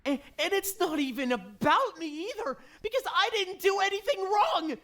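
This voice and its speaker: A woman voicing a distraught, defensive teenage boy in an animated drama, stammering and emotionally raw. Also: very high voice, normal speed, full character voice. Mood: upset, indignant. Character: distraught, defensive teenage boy, guilt-ridden and foul-mouthed. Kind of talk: dramatic voice acting in a fan-made animation.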